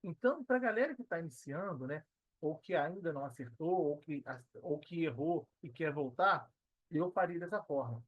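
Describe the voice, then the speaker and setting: A Brazilian man giving casual, reflective interview answers as a podcast guest, pausing as he thinks and often adding tag questions. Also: fairly high pitch, expressive, dry recording, highs cut off. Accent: Brazilian Portuguese. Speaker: podcast guest, affiliate marketer.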